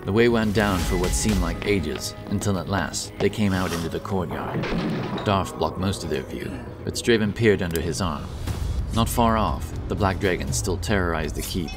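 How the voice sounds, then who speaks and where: A man's voice: sits low, moderate pace, far from the mic. A man giving a dramatic scripted narration of a fantasy story. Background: loud epic background music.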